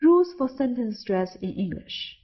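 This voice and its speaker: A woman's voice: on the high side, sing-song, normal speed, muffled recording. A Chinese woman with a Chinese accent, an English teacher, narrating a scripted lesson and carefully stressing example words. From China.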